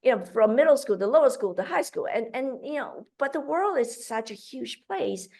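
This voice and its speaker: A woman from Malaysia speaking in an interview as a guest. Her talk is animated and full of fillers and restarts. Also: high-pitched, normal speed, vocal fry. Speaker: guest, founder of a kids' cooking program.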